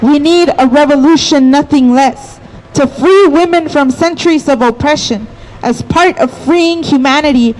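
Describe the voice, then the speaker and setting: A woman's voice: sits high, normal speed, a little mellow, rough recording. A woman reading a scripted political appeal in an emphatic, declarative way. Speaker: activist announcer.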